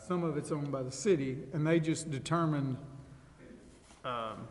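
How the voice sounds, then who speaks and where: A man's voice: fairly high pitch, a little slow. An American man explaining a point as a council member at a public meeting. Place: large indoor council chamber. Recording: echoey hall, background noise.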